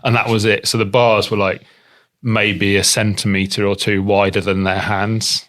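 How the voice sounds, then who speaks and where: A male podcast host with a Norwegian accent speaks in a fairly low voice. He asks questions in a loose, rambling way, restarting often. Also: flat delivery, normal speed, fairly bright tone. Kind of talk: casual podcast interview.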